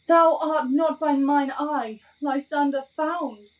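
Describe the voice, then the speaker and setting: A woman's voice: high voice, steady tone, slow. An actress playing a devoted, bewildered young woman in a Shakespearean audio drama, speaking verse earnestly and pleadingly. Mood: searching, plaintive.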